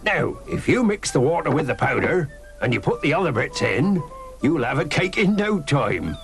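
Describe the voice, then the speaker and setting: A man's voice: a little high, big pitch swings, moderate pace, vocal fry, faint music behind, voice pushed up. A man reading a children's story aloud, voicing a hearty old male sailor who chuckles and draws out his words. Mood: amused.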